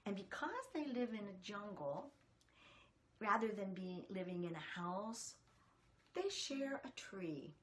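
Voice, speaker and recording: A woman's voice: mid-range voice, expressive, takes it slowly. A woman reading a picture book aloud to children, expressively, putting questions to her young listeners.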